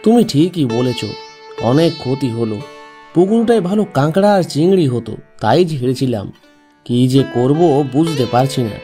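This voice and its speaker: A man's voice: medium pitch, expressive, normal speed, dark tone, faint music behind, natural voice. A man playing a poor, honest village farmer in a cartoon, earnest and plaintive. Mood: troubled. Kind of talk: cartoon voice acting.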